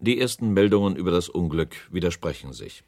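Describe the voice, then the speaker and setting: A man's voice: sits low, animated, brisk, darkish tone, dry recording. A man narrating a radio documentary drama from a script, sober and factual.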